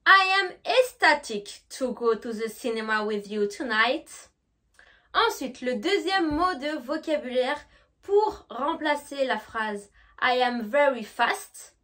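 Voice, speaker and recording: A woman with a French accent leads an online English lesson in a high, light voice. She talks to the viewer directly and informally, and she stretches out each English model word so it can be repeated. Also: lively intonation, slow, pushed and bright. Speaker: English teacher.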